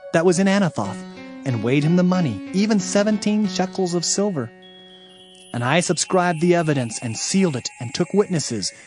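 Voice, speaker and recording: Actor as higher-pitched earnest prophet. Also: male voice, a little mellow, close to an everyday voice. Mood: earnest, recounting. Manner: measured, emphatic, solemn. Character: earnest male Hebrew prophet proclaiming oracles and recounting events. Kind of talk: dramatized scripture reading. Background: music.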